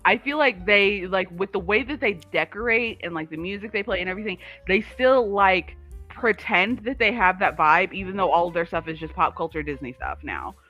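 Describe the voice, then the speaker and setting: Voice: medium pitch, normal speed, bright, forceful tone, faint music behind. A livestream co-host chatting casually and informally, telling rambling personal stories peppered with filler words. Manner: informal, filler-heavy with frequent "like".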